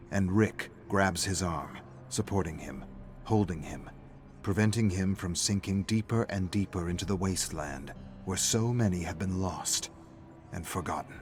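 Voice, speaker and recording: A man's voice: low-pitched, a little mellow. A man reading a story aloud as a scripted, dramatic narrator. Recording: music playing behind the voice. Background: faint background music.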